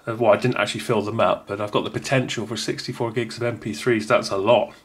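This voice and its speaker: A man's voice: on the low side, steady tone, quick pace. A man rambling casually as he reviews a small gadget at home, with frequent restarts. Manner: rambling, chatty, many restarts. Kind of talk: casual unboxing talk.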